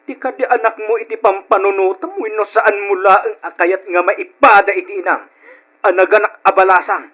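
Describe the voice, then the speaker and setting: A man's voice: high-pitched, fairly even intonation, a little fast, fairly bright tone. An actor playing a cold, callous young man in an old-style radio drama, curt and dismissive, with an Ilocano accent. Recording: dull lo-fi old radio sound.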